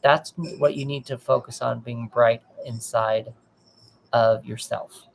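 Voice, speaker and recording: A man with a gravelly, fry-laden voice talks a client through her cards, gentle and reassuring with plenty of restarts. A faint hiss sits under the recording. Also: medium pitch, steady tone, slow. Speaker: tarot reader. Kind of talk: live tarot reading, casual advice.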